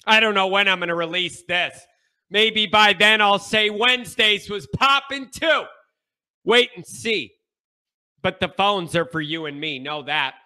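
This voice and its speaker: Stuffed-up man, high and sharp. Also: a little slow. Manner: emphatic, repeats points with bursts of shouting. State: stuffed-up nasal congestion. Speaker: show host. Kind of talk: sports talk monologue.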